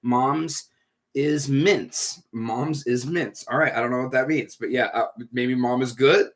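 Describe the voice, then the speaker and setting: A man's voice: mid-range voice, measured pace. A man with a stuffy, congested nose, hosting a chatty livestream talk at home as leader of a recovery group. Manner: chatty, rambling, upbeat. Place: at home indoors.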